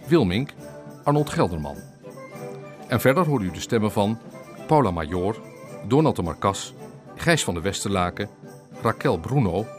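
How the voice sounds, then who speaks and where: A man reading scripted closing credits for a radio drama as its announcer. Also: lowish voice. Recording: clear music behind.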